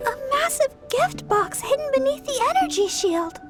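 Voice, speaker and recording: A woman voicing a tiny, excitable fairy girl in a video game, squeaky and childlike. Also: moderate pace, music in the background. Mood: eager. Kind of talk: cartoonish video game voice acting.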